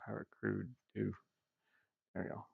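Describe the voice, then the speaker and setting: A man with a gravelly voice rambles and mutters to himself, thinking aloud casually as he streams his game development work. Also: moderate pace, dry recording. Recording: dull, muffled, dry lo-fi microphone.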